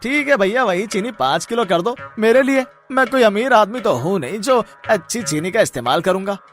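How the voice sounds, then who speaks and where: A man's voice: high voice, expressive, a little fast, a bit bright, soft background music. A man voicing a mocking, boastful, cheating rival sweet seller in a moral-story cartoon, with a taunting manner.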